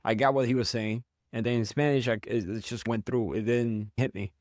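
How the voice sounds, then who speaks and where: Guest: man, mid pitch, dry close sound, thoughtful, stops and restarts. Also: fairly even intonation, a little mellow, no echo. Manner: reflective, halting with frequent restarts. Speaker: guest, founder of a literature platform. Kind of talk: casual interview conversation.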